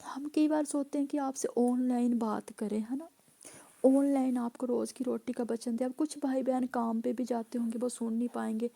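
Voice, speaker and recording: A woman pastor delivers an emphatic sermon, stacking up repeated phrases and hallelujahs. Her voice is hoarse and gravelly, with a fairly high pitch. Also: fairly even intonation, normal speed, dark tone.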